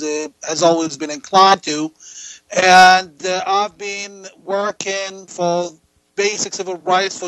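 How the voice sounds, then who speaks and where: High-voiced man. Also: pushed and bright, no room sound, poor audio. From Jordan. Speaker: interview guest, political activist and writer. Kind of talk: interview answers.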